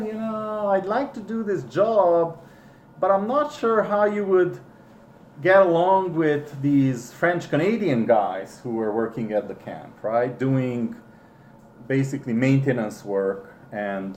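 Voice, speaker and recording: A middle-aged man who is not a native English speaker, with a foreign accent, recalling his past slowly and hesitantly, with many ums and restarts. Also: medium pitch, a little mellow, roomy sound, slight background noise. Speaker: interviewee. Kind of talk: casual recollection.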